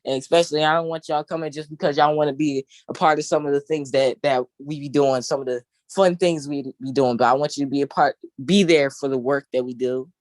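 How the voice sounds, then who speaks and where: A young man, president of a youth council, speaking casually on a video call in an easygoing, halting way. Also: fairly high pitch, fairly even intonation, no echo, clean studio sound. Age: young adult. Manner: easygoing, halting, with many fillers and restarts.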